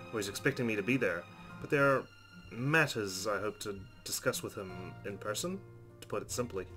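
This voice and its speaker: A man's voice: fairly low pitch, sharp tone. A man hosting a game playthrough, reading the story narration aloud expressively. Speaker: let's play host reading game narration. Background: game music.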